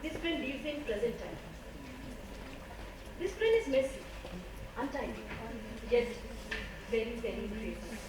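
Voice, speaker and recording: A woman's voice: a little high, animated, a little slow, long pauses. An Indian-accented woman playing an earnest, emphatic scientist in an amateur stage play. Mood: emphatic lecturing. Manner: emphatic, theatrical delivery. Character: earnest woman scientist, lecturing yet easily flustered. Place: stage in a hall, far microphone. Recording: very echoey distant stage recording, noisy.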